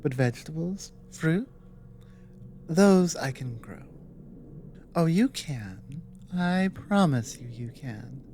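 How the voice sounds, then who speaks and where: A woman playing a weary, earnest dragon ally with magic in an ASMR audio roleplay, speaking in a measured, halting way. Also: low voice, expressive, dark tone, a little hiss or hum. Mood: earnest, reassuring.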